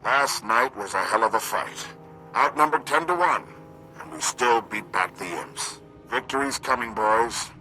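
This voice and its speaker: A man's voice: mid-range voice, a little slow, low-quality recording. An actor playing a defiant veteran army commander who addresses his troops in plain, rousing, soldierly speech in a video game. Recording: loud music under the voice.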